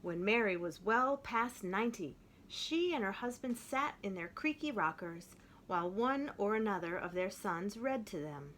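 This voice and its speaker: A woman reading a children's picture book aloud in an expressive way, slowing down to stress key phrases. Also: medium pitch, slight background noise. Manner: expressive, with slowed emphatic phrases. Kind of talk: storybook read-aloud.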